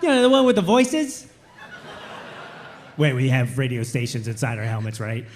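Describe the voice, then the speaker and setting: A man voicing a dim, childlike, earnest soldier in an animated comedy table read, naive and a little halting. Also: mid-range voice, a little hiss or hum.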